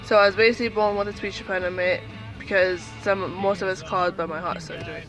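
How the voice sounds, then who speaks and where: A woman's voice: mid-range voice, fairly even intonation, quick pace, a bit bright, faint music behind, rough recording. Young woman with a speech impediment. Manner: rambling, lots of fillers and restarts. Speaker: vlogger. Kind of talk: casual storytelling to camera.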